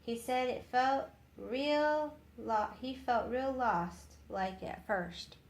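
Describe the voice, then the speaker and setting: Old servant woman for a storybook, done by a woman narrator: folksy, worried, kind, slow, echoey room. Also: on the high side. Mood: grateful, puzzled. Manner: plain-spoken, folksy. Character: fretful, kindly old gray-haired housemaid woman. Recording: roomy echo with faint noise.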